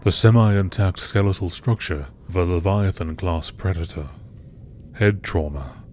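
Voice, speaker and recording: A man reading scripted narration in a measured, matter-of-fact nature documentary style. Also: low voice, a little mellow, a little hiss or hum.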